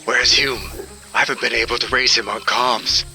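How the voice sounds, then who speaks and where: A practical male pilot in a sci-fi audio drama. His voice is very sharp and bright, clipped like radio calls, with music behind. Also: medium pitch, fairly even intonation, normal speed. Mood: concerned, brisk. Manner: clipped radio-call phrasing.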